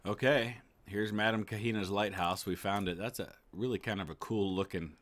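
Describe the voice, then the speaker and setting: A man's voice: fairly low pitch, fairly even intonation, moderate pace, a bit bright. A man giving laid-back, casual commentary as he plays a game, thinking aloud. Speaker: gaming YouTuber. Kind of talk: casual let's play commentary.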